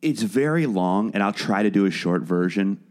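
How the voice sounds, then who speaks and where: A man with a fairly low voice, talking loosely as a podcast guest. He restarts his sentences and doubles up on words as he works through a long story about his career. He sounds relaxed and conversational. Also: normal speed. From Wales. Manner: chatty, frequent restarts and repeated words. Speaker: guest, musician and podcast producer. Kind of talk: casual interview conversation.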